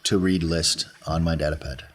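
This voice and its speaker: A man's voice: a bass voice, fairly even intonation, normal speed, noisy background. A man talking conversationally as a player in a tabletop game.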